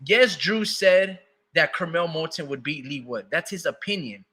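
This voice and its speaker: A man's voice: a little high, expressive, pushed and bright. A man co-hosting a casual boxing podcast, arguing back with lots of "bro" and "hold on". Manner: talks in quick interruptions, says "bro" and "hold on" a lot. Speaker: podcast co-host. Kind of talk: casual heated debate.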